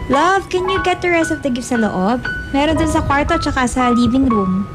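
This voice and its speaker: A woman's voice: high voice, animated, normal speed. An actress with a Filipino accent playing a doting, teasing young wife and mother in a radio drama. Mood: cheerful, doting. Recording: loud music over dialogue.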